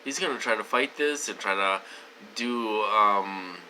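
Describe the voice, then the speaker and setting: A man's voice: on the low side, measured pace, pushed and bright, slight background noise, low-quality recording. A man talking casually to his viewers, wheezy and short of breath, rambling with many fillers and restarts. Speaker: vlogger. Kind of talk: casual talk to camera.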